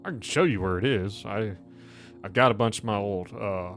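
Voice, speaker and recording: Guy doing a gruff bitter miner for a tabletop game, lowish voice, cusses a lot, bit of music behind. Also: normal speed, fairly bright tone. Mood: bitter, grumbling. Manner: grumbling, heavily profane. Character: gruff, bitter injured miner, grumbling working man. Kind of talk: in-character tabletop roleplay.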